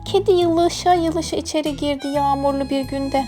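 A woman's voice: sits high, steady tone, normal speed, over music. A woman narrating a scripted children's bedtime story expressively, as a storyteller.

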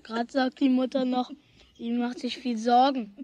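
Earnest schoolboy played by a woman for a children's audio drama; highish voice, chatty, confiding, a bit stubborn. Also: flat delivery, unhurried, dark tone, lightly acted. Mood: indignant. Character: earnest, stubborn young boy with big worries. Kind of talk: German radio play for children, 1970s.

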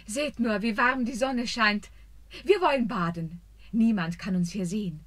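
An actress playing a proud but kind young queen in a radio play, speaking in a stately, measured way. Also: medium pitch, close to an everyday voice.

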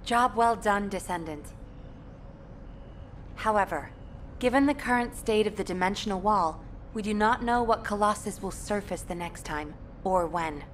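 An actress playing a serious, composed military strategist in a video game, giving a formal, measured and earnest briefing. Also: female voice, mid-range voice, slight background noise, natural voice. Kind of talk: scripted video game drama acting.

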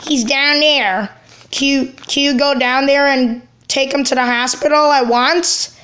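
A woman voicing a worried, indignant cartoon brother who speaks haltingly and repeats phrases. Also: high voice, fairly even intonation, bright, forceful tone, exaggerated voice.

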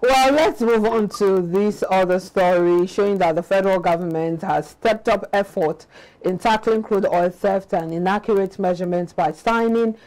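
A young Nigerian woman with a Nigerian accent, presenting business news in a studio in a measured way. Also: medium pitch, a little mellow, low-quality recording.

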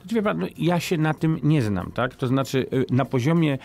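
A middle-aged man speaks into a boom-mounted studio mic as a radio guest, his voice a touch high and full of gravelly fry. He halts and restarts, then drives his points home with emphasis. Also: animated, moderate pace, a little mellow, faint music behind. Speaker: politician guest. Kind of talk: radio interview. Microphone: studio microphone on boom arm.

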